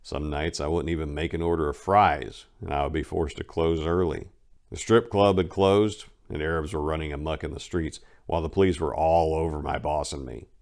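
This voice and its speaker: A man giving a dramatic reading of a first-person book, telling it conversationally and punching emphatic words before dropping low at phrase ends. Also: steady tone, moderate pace, vocal fry, a little mellow. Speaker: reader of a memoir.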